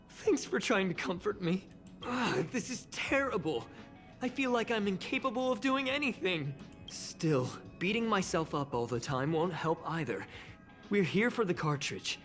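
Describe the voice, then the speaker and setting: A woman's voice: mid-range voice, lively intonation, unhurried, natural voice. Woman doing a nervous earnest boy helper from a video game, voice jumps up and down a lot, light music behind. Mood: nervous, resolute. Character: anxious, earnest young boy assistant, self-doubting. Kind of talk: scripted video game dialogue.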